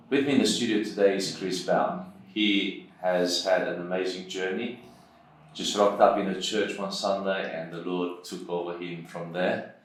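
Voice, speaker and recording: A man's voice: deep voice, very echoey, recorded from a distance, poor audio. A man hosting an interview show, asking brief prompting questions. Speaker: host. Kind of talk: interview chat.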